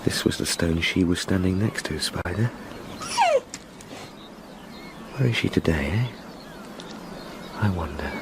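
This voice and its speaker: An actor playing a courteous, earnest young English solicitor in a period gothic radio drama, well-spoken and polite. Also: male voice, sits low, animated, normal speed, very noisy. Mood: weary, musing. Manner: polite, well-spoken, talks fondly to a dog.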